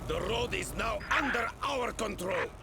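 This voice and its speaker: Loud battle noise surrounds a hard-driving Soviet field commander. His voice is gravelly and pitched very high, giving strict, rousing orders in a war game. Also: expressive, a little slow, heavily acted. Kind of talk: military strategy game acting.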